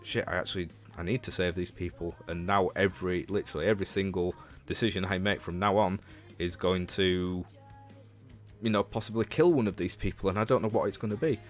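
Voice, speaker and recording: Male livestreamer, low voice, Northern English accent, informal game chat over faint background music. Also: steady tone, brisk. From Leeds, England. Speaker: livestreamer and show host. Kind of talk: casual livestream chat about games, replying to viewers.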